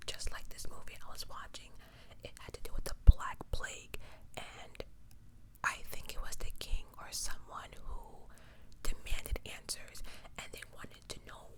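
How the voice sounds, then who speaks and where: Whispered ASMR true crime storyteller. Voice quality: fully whispered, unvoiced. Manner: conversational, emphatic, repeats points for effect. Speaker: ASMR creator and host.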